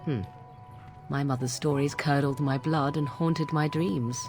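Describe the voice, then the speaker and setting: A woman's voice: low-pitched, steady tone, unhurried, over music. An actress playing a cynical young witch of the wilds, formal, disdainful and drily sarcastic, in a fantasy game. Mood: bitter, wry. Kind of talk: dramatic game dialogue.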